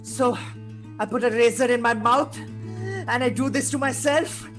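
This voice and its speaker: A man playing a manic, menacing criminal clown in a live table read, taunting with drawn-out words and cackles. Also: extremely high pitch, fairly even intonation, fairly bright tone, soft background music. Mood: sinister, taunting.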